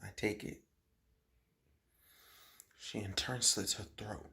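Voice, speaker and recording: A man acts out a troubled, guilt-ridden schoolboy in a dramatic monologue. His voice sits lowish and hushed, halting and deliberate, with heavy pauses between phrases. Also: steady tone, gravelly, full character voice. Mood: confused, grieving.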